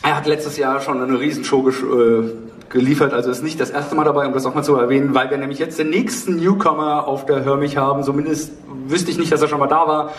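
A man moderating a live stage panel, pausing often and drawing out words. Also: a little high, fairly even intonation. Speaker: panel host, audio drama producer. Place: theatre stage, indoors. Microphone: handheld mic over PA, recorded from audience. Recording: roomy, distant hall recording with noise.

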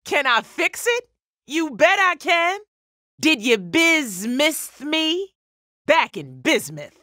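An actress voicing a big, brawny, jovial gem blacksmith in an animated video game, with a punchy, folksy swagger. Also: female voice, high voice, slow pace, no echo, clean studio sound, exaggerated voice. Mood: triumphant.

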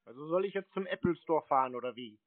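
A man's voice: on the high side, expressive, quick pace, no echo. A man on a phone call who acts obtuse and confused, asking question after question. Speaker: scam-baiter playing a called customer. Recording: narrowband phone line.

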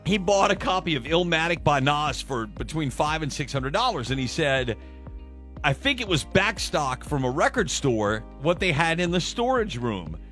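A middle-aged male radio host with a lively voice that rises and falls a lot. He chats in a casual, self-mocking way, with faint music playing underneath. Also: fairly high pitch, moderate pace. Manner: chatty, self-mocking, animated delivery. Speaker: radio morning show host.

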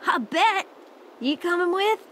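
A woman's voice: very high-pitched, expressive, takes it slowly, fairly bright tone, a little hiss or hum, exaggerated voice. A woman playing a caring, protective young woman in a video game drama, with a folksy, fussing manner. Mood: caring, relieved.

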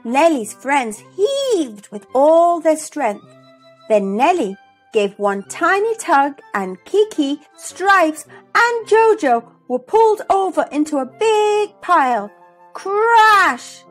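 A woman reads a picture book aloud for children as host. Her voice is high and animated, and she takes the words slowly and expressively. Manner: expressive, deliberate. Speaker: host and storybook reader. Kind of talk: scripted children's story read-aloud. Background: music.

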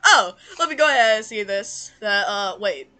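Bright-voiced young woman goofing through a dub. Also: mid-range voice, animated, moderate pace. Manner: giggly, swearing, breaks out of character. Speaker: amateur fan dub performer. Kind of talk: loose improvised comedic cartoon fan dub.

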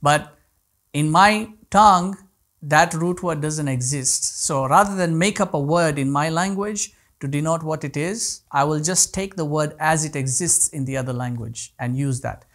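A man in his thirties, a native Kutchi speaker, teaches a class, his fairly high voice working through each point with frequent restarts and repeated words. He sounds close to a lapel mic in a small indoor room. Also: normal speed, a bit bright. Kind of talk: online class lecture answering questions.

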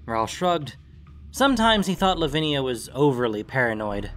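A man's voice: mid-range voice, sing-song, normal speed, a bit bright. A man reading scripted fantasy fiction as an audiobook narrator. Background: music.

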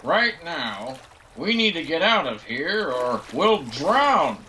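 A man's voice: a little high, big pitch swings, takes it slowly, pushed and bright, a little hiss or hum, heavily acted. A man playing a brash, knowing cephalopod legionnaire in an audio drama, theatrical in delivery. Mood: brisk.

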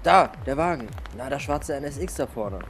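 A man's voice: medium pitch, lively intonation, normal speed, faint music behind, close to an everyday voice. A man playing a cocky young street punk in an audio drama, with slangy, sloppy speech. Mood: cocky, excited.

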